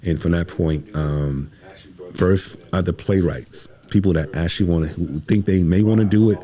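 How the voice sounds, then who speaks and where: A man's voice: sits low, normal speed, dark tone. An American man talking casually as a podcast guest, slangy and emphatic, telling stories and quoting conversations. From United States, Midwest. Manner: slangy, emphatic, acts out reported dialogue. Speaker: podcast guest, stage playwright. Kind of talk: animated casual interview storytelling.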